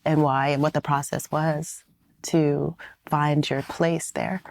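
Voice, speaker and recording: A woman hosting an interview, asking questions and encouraging her guest with soft affirmations. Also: low voice, level tone, slow pace, no echo. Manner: encouraging, frequent soft backchannels. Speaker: podcast host.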